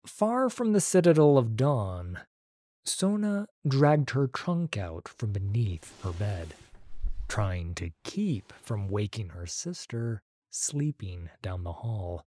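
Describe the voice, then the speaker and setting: A man narrating an audiobook story in a measured, expressive way. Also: mid-range voice, no echo.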